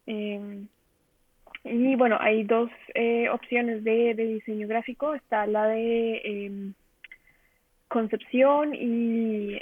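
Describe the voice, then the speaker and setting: A woman's voice: on the high side, no room sound. Young Colombian woman on a phone-like line. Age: young adult. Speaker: interview guest, design trainee. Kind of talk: casual spontaneous interview answers. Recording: narrow telephone-like online call.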